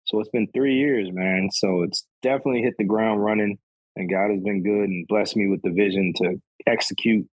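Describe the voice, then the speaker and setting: A man in his thirties, a podcast guest, chatting in a relaxed, rambling way, often tacking "man" onto his sentences. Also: fairly low pitch, moderate pace, no echo, low-quality recording. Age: 30s. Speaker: podcast guest, golf sneaker reviewer. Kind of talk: casual conversation.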